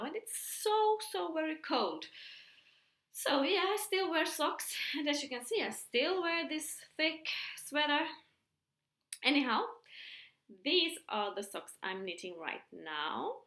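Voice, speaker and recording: A middle-aged woman speaking English with a Finland-Swedish accent, her voice bright and fairly high. She chats casually and hesitantly, with many fillers, and the room around her is echoey. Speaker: podcast host. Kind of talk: casual chat. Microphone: computer mic.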